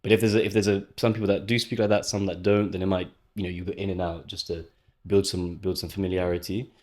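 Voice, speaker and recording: Fast-talking low-voiced man. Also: little pitch movement, a little mellow. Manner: fast, thoughtful, self-correcting. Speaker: podcast guest. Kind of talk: casual conversation.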